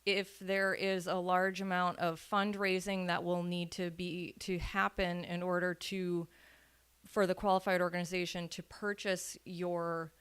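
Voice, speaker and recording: A woman's voice: on the low side, flat delivery, measured pace, fairly bright tone, smooth. A woman presenting at a public meeting, methodically going through points on land conservation with some fillers. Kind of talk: informational talk at a public meeting.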